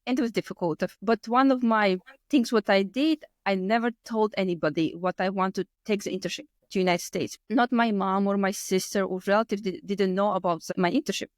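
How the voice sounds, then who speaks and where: A woman's voice: medium pitch, brisk, few pauses, no echo. Latvian-accented woman in her thirties, dry sound. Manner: non-native English with loose grammar and word order. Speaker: podcast guest. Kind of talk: casual personal storytelling in interview.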